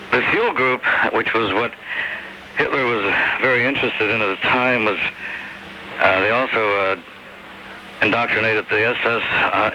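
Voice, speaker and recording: A man phoning in to a radio show, speaking in a halting way. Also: on the low side. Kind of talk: halting phone-in talk. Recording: dull noisy phone call over shortwave radio.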